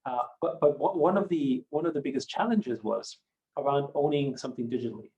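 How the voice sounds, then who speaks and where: A man in his fifties, a German native speaker who grew up in Austria, answering interview questions in a measured, reflective way with many hedging fillers. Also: medium pitch, warm tone, highs cut off. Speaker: company founder and guest. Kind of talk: podcast interview.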